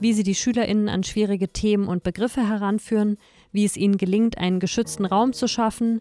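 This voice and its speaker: A woman reporter who asks gentle questions and reads scripted narration. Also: medium pitch. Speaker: reporter, interviewer.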